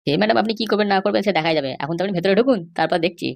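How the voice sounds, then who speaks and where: A woman's voice: mid-range voice, lively intonation, very fast, creaky. A woman voicing a stubborn, bribe-seeking police officer in a comedy cartoon, insistent, lecturing and dismissive. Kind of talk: Bengali comedy cartoon dialogue.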